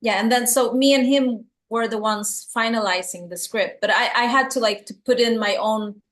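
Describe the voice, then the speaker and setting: A woman's voice: fairly high pitch, moderate pace, a bit bright. A middle-aged woman from El Salvador, a filmmaker speaking English with a Swedish and San Francisco accent, answering interview questions earnestly and often repeating words as she searches for them. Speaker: filmmaker guest.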